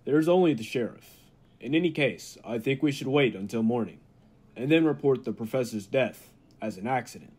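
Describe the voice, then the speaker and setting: An actor playing a grave, calculating laboratory assistant in an old-time radio drama, speaking formally with a husky voice. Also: male voice, mid-range voice, animated, normal speed, darkish tone. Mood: grave, persuasive.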